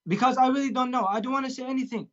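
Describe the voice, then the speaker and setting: Man, native Arabic speaker, caller, very high voice, hesitant, sarcastic, muffled lo-fi line. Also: very fast, a bit bright. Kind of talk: heated live debate. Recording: dull call-in audio.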